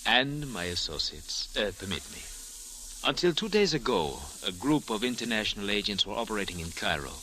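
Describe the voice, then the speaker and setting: An actor playing a suave, smug foreign spy with deliberate, menacing politeness in an old-time radio drama. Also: male voice, fairly low pitch, sing-song, bright, forceful tone. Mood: smooth, smug. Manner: suave, deliberate, with amused chuckles. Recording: noisy, hissy old radio recording.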